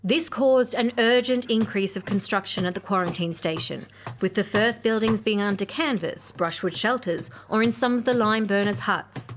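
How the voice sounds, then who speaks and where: A woman giving clear, informative, scripted historical narration in a radio play series. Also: medium pitch, normal speed, a little hiss or hum.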